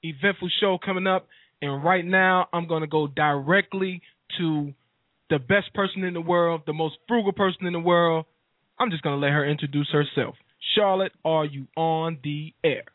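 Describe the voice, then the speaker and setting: A man in his thirties hosts a live radio show, keeping things loose and jokey. His voice sits fairly high for a man. The sound is dry and tinny like a phone line, with faint music behind him. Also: steady tone, normal speed, no room sound. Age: 30s. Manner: upbeat, joking, easygoing. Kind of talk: casual live radio chat.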